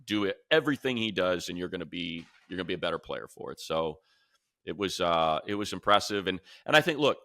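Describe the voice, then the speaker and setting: Deep, gravelly male sports talker. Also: pushed and bright, no room sound, studio quality. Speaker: sports show host. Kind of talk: casual sports talk and commentary.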